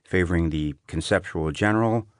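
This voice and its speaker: A man reading an essay as an audiobook narrator, in a measured, clearly phrased delivery. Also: low voice, dry recording, studio quality.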